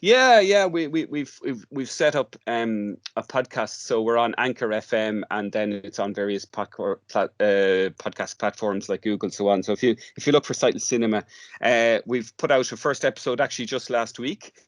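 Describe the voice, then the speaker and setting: An Irish man talking conversationally as an interview guest, halting and often repeating words as he explains. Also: medium pitch, dry recording, studio quality. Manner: hesitant, halting, with stammered word repeats. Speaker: guest, audio theatre workshop leader.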